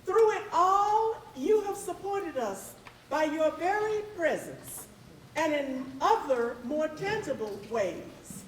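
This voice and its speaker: A woman delivers a formal stage welcome in a high, very animated voice. She phrases slowly and oratorically, as a host would. The sound is roomy and a little distant, with faint noise behind it. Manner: oratorical, deliberate, measured phrasing. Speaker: host of a readers theatre.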